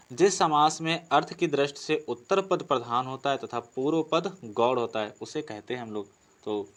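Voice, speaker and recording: A man's voice: mid-range voice, fairly bright tone. A man from teaching like a coaching instructor, posing questions and explaining answers with frequent repetition. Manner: explanatory, repeats and rephrases answers, asks himself questions. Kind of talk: Hindi grammar lesson, working through practice questions.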